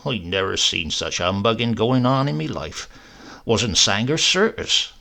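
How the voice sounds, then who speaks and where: A man's voice: on the low side, lively intonation, a little slow, gravelly, bright, forceful tone, exaggerated voice. A man doing a sly old country uncle. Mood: grumbling. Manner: drawling, mock-meek, earthy.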